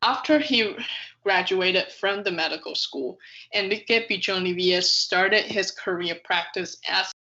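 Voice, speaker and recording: A woman's voice: mid-range voice, a little slow, pushed and bright, dull audio. A woman narrating a video essay from a script, reading carefully with occasional stumbles over words. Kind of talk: scripted narration, read aloud.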